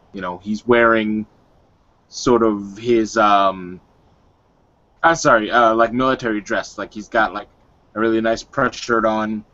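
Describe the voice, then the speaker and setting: A man casually describing his character in a tabletop roleplay, halting and searching for words. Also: fairly low pitch, pushed and bright. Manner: halting, hedging with repeated likes. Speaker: roleplay player. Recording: dull online call audio.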